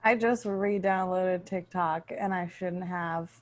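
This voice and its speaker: A woman's voice: fairly low pitch, steady tone, slow. A woman hosting a podcast, chatting casually with a teasing, crude sense of humour. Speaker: podcast host. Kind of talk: casual improvised podcast chat.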